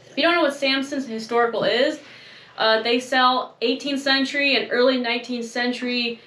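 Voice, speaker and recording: Young woman, casual chat, self-mocking, high voice, roomy echo. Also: fairly even intonation, moderate pace, sharp tone. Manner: chatty, self-mocking, laughs often. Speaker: co-host.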